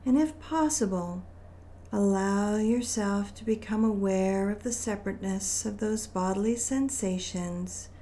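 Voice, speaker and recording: Slow, mellow woman guiding a meditation. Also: mid-range voice, a little hiss or hum. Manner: gentle, soothing, deliberate phrasing with long pauses. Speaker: meditation guide. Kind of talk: guided meditation narration.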